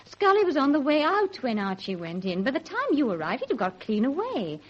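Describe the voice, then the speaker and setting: Bright-spirited young woman, high animated voice. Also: a little fast, lo-fi sound. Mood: spirited defiance. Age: young adult. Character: spirited, cheeky young woman, the constable's sweetheart. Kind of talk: radio drama acting.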